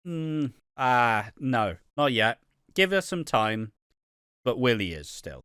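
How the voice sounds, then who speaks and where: A man's voice: medium pitch, big pitch swings, takes it slowly, fairly bright tone, smooth, dry recording, clean studio sound. A man co-hosting a casual podcast about a TV soap, swearing freely and acting out characters' lines. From United Kingdom. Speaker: podcast co-host.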